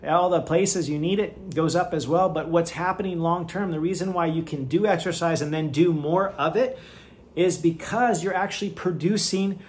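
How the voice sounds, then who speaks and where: A middle-aged man with a fairly high-pitched voice hosts a casual health podcast. He talks in an enthusiastic, chatty way, often checking in with "right?". He moves around and lifts weights at his standing desk as he speaks. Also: normal speed, a little mellow, slight background noise. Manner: moving around and lifting weights while talking. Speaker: podcast host, physician. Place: home office at a standing desk.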